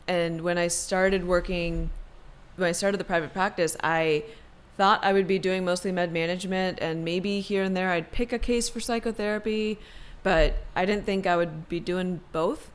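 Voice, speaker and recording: A woman's voice: lowish voice, steady tone, normal speed, clean tone. A young adult woman psychiatrist from Maryland, talking casually and thoughtfully in an interview, with many fillers and self-corrections. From Maryland, United States. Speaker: psychiatrist guest.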